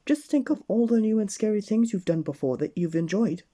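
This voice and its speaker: Reader doing a high, eager, brave storybook heroine. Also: animated, brisk, warm tone, close to an everyday voice. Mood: eager, encouraging. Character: brave, encouraging female storybook character, earnest and eager.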